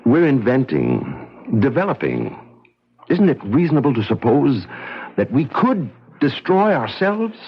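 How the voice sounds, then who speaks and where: An actor playing an intense, wryly humorous scientist in hiding, in a 1970s radio drama. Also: male voice, medium pitch, wildly swooping pitch, a little slow, husky, full character voice. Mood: desperate, intense. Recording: muffled 1970s radio broadcast recording.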